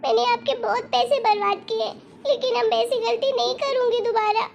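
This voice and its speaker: A woman's voice: very high-pitched, steady tone, moderate pace, creaky, highs cut off, a loud crowd. A woman voicing a dramatic, insistent young wife in an amateur cartoon story, with a whiny manner. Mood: remorseful, pleading.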